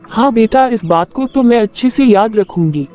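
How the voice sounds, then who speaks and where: Woman acting a scheming older mother-in-law, mellow voice. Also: on the high side, animated, normal speed, faint music behind, close to an everyday voice. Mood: scheming, firm. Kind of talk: Hindi audio story acting.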